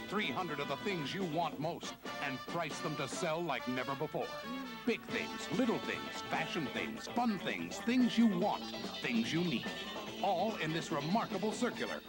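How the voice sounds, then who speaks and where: A male commercial announcer reads a retail ad in a fairly high, very animated voice. Loud music plays behind him on what sounds like an old advert. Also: moderate pace.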